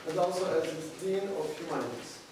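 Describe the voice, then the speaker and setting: A man in his thirties reads a formal introduction in a halting way. His voice is a little high and comes from a distance in a very echoey room, with clear noise behind it. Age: 30s. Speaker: host introducing a lecturer. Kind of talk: formal introduction, read from notes. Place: small lecture room with seated audience, indoors. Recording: echoey room from afar, noisy background.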